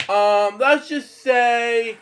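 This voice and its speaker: A man hosting a read-aloud of children's stories in a casual, halting, rambling way with off-the-cuff asides. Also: extremely high pitch, a bit bright, slight background noise. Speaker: host reading bedtime stories.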